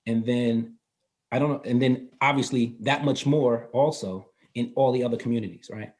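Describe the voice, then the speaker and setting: A man in his fifties talks through financial ideas in an easygoing, explanatory way. His voice sits at an ordinary middle pitch, and he laughs readily, sometimes restarting his phrases. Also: normal speed, a little mellow. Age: 50s. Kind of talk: casual interview explanation. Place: North Carolina.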